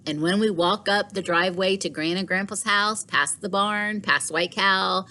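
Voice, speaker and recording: A woman teacher reading a storybook aloud to children in an expressive way, with deliberate dramatic pauses. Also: mid-range voice.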